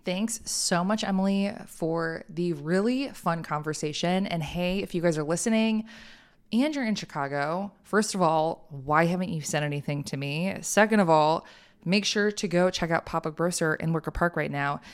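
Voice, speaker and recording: A podcast host with a Midwestern American accent, chatting casually and enthusiastically with a guest and laughing often. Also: female voice, on the low side, normal speed, smooth. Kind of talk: casual interview chat.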